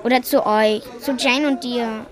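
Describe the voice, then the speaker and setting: A woman's voice: on the high side, steady tone, measured pace, a crowd in the background, lightly acted. A female performer playing a stubborn, pleading nine-year-old daughter in a radio drama, with blunt childlike bargaining.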